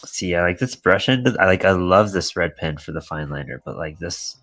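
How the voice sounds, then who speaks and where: Man, very deep gravelly voice, laid-back slangy chat, dry close sound. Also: moderate pace, a bit bright, no echo. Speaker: guest, comics creator. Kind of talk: casual chat.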